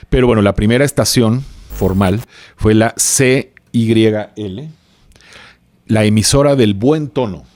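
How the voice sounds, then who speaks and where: A Mexican man with a low-pitched voice talks as an expert guest on a podcast. He explains casually and hesitantly, often drifting into side remarks in a loose conversational way. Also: a little slow, darkish tone. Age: 40s. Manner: rambling, hesitant, with asides.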